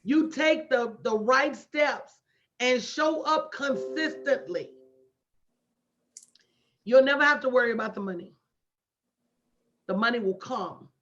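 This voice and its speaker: A woman's voice: on the high side, slow, pauses often, fairly bright tone. A woman in her late forties coaching a live online audience with emphatic, preacher-like repetition and folksy, direct address. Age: late 40s. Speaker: motivational business coach hosting a livestream. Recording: dull lo-fi livestream audio.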